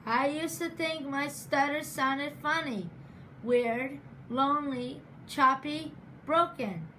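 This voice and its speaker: A woman giving a prepared speech in a deliberate, measured way, stuttering often with repeated sounds and words. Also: high voice, pushed and bright, slight background noise, low-quality recording. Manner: stutters with sound and word repetitions. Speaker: program graduate giving a speech.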